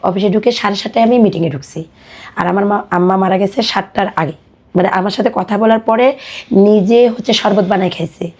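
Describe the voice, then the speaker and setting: A woman with a Bangladeshi accent telling her personal life story as a podcast guest, in colloquial, halting speech. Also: medium pitch, darkish tone.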